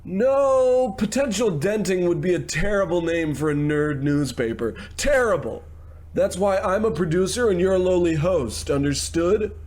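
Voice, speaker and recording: A man playing a brash, smarmy, domineering TV producer in a radio comedy, talking smoothly and condescendingly with gushing endearments. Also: high-pitched, animated, normal speed, darkish tone. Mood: bossy, smug. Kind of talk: old-time radio comedy acting.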